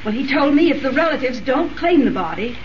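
A woman's voice: high voice, very animated, a little fast. A woman playing an anxious, devoted female sidekick in a 1930s radio drama, earnest, breathless and hesitant. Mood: earnest recounting. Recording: noisy, muffled, distant 1930s radio recording.

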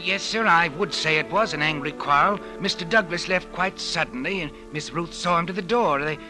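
An actor playing a deferential, formal butler giving careful, hesitant testimony in an old radio drama. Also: male voice, a little high, moderate pace, natural voice, low-quality recording. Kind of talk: old-time radio acting. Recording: old radio recording with music behind.

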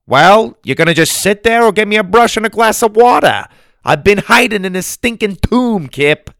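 A man voicing a feisty, indignant old grandmother, a sharp-tongued, bossy adventurer in a storybook. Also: high-pitched, animated, moderate pace, dry recording, studio quality, voice pushed up. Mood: exasperated, demanding. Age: older. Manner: exclamatory, teasing, bossy.

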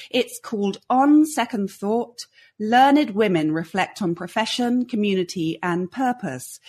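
A woman's voice: fairly high pitch, darkish tone, slightly rough. A woman hosting a podcast, introducing and interviewing a guest.

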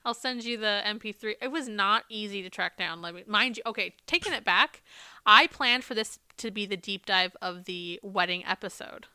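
A woman's voice: mid-range voice, moderate pace, pushed and bright, clear, resonant tone, dry recording. A woman co-hosting a podcast in casual chat. She is losing her voice, swears freely and laughs often. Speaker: podcast co-host.

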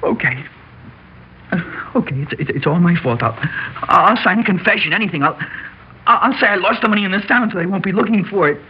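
A man's voice: sits high, expressive, fairly quick, pauses often. An actor playing a frightened, pleading teenage boy among crooks in a 1960s radio drama, stammering and emotional. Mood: desperate, remorseful. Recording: muffled, hissy old radio recording.

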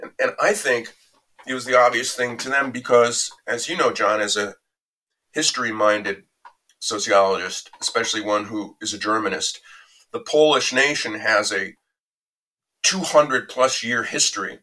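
A man answering an interview question in a reflective, anecdotal way, measured and conversational. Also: mid-range voice, bright, forceful tone, poor audio. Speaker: interview guest recounting a reporting trip.

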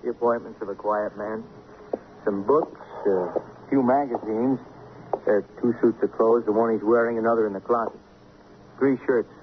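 A man's voice: on the low side, steady tone, moderate pace, close to an everyday voice. Man acting a clipped police sidekick, tinny radio. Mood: matter-of-fact. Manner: clipped, matter-of-fact reporting. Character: plain-spoken male police detective, dutiful sidekick. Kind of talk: 1950s radio crime drama acting. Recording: tinny, noisy old radio recording.

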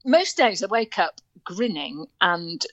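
An older woman chats and tells stories with plenty of laughter. Her pitch leaps and swoops all over the place and often breaks into bright, high squeals. The sound is dry and close. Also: very animated, takes it slowly, no echo. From North Wales. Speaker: guest. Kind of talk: casual chat.

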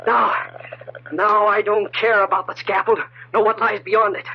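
Desperate doomed killer, high husky voice, defiant, old-time radio melodrama. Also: male voice, little pitch movement, moderate pace, full character voice. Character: desperate condemned nephew, murderer turned defiant. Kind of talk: 1940s radio drama acting. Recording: muffled vintage radio recording.

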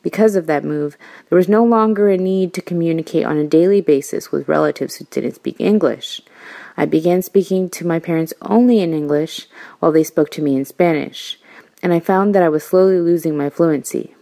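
An American woman delivers a prepared voicemail in a composed way. Her voice is mellow and soft-edged, sitting a little low for a woman. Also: brisk, creaky. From United States, New York and Florida. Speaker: listener leaving a voicemail.

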